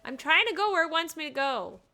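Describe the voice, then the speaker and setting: A woman's voice: high-pitched, sing-song, a little fast, sharp tone. A woman streaming a game, giving casual, playful, reactive live commentary. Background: game music.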